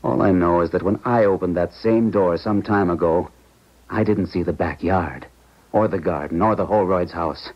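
Distraught, lowish-voiced widowed professor. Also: male voice. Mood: distraught, insistent. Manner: agitated, halting, intense. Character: distraught male professor, grieving widower, insistent. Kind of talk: 1970s radio drama acting. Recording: muffled 1970s radio recording.

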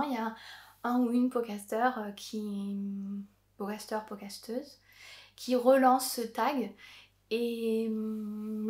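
A woman in her thirties chats to a camera on her desk, her voice sitting a little high. She keeps pausing on filler sounds and laughing as she goes. The small room around her adds a clear echo. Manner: chatty, many filler "euh" pauses, laughs often. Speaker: knitting podcaster. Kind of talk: casual vlog chat. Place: indoor craft room with yarn shelves. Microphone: camera on a desk, framed at chest. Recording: echoey room.

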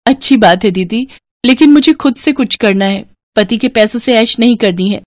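A woman voicing a poor, humble, determined young orphan woman in an animated moral story, earnest and pleading. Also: a little high, moderate pace, no room sound, studio quality, lightly acted.

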